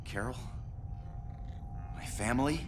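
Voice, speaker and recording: Man as a slow, pleading anxious hero. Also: medium pitch, music in the background, lightly acted. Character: anxious, hopeful male hero pleading for lost loved ones. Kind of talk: video game cutscene acting.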